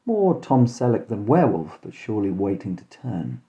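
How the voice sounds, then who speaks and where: Very dark-voiced man telling deadpan tales. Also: lowish voice, normal speed, lo-fi sound. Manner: deadpan, mock-dramatic. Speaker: podcast host and storyteller. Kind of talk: scripted comic horror narration in mock-detective first person.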